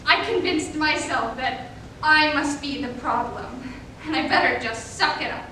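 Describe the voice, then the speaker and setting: A woman's voice: measured pace, pushed and bright. A young woman playing a hurt teenage gymnast recounting abuse, with earnest, dramatic emphasis in a stage reading. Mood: ashamed, hurt. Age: young adult. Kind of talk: competitive reader's theater performance. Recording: echoey room, distant mic, rumbling noise.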